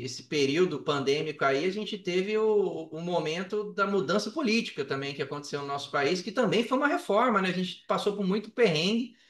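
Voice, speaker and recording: Male podcast guest, Brazilian accent, high register, animated colloquial storyteller, recorded roomy and a little off the mic. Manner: animated, colloquial, acts out quoted dialogue. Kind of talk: casual personal storytelling in an interview. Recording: roomy echo, distant from microphone.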